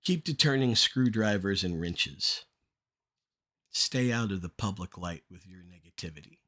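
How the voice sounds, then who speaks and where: A middle-aged man performing rhymed spoken-word poetry in a measured, deliberate delivery with short phrases. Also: low-pitched, expressive, bright, forceful tone.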